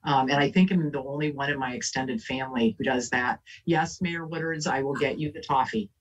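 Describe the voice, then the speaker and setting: A woman panelist talks on a video call, earnest and searching for words, with plenty of fillers and restarts. Her voice is a little husky and sounds set back from the mic, with distant call audio. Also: rumbling low, fairly even intonation, brisk, dull audio. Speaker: panelist, elected official. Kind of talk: casual panel talk.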